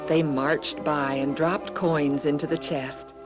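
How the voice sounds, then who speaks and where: A woman narrating scripted Bible stories for children in an expressive, deliberate storytelling manner. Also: low-pitched. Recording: music behind the reading. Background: background music.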